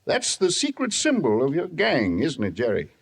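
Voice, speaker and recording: Gravelly, husky stern judge. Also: male voice, on the high side, huge pitch swings, full character voice. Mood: stern, firm. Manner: measured, admonishing. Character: stern, shrewd, fatherly male judge. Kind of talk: old-time radio drama acting.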